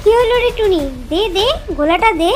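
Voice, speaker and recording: The disguised villain of an animated fairy tale, a shrill false queen hustling and wheedling greedily, music faint behind. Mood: impatient. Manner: hurried, wheedling, repetitive urging. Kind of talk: children's cartoon voice acting.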